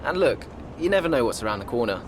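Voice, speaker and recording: A man acts a confident young racing driver in a game cutscene. His delivery is casual and understated, yet the pitch moves a lot. A clear rumble sits behind him. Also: sing-song, fast talker, lightly acted. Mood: cocky, guarded. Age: young adult. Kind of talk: naturalistic video game cutscene acting.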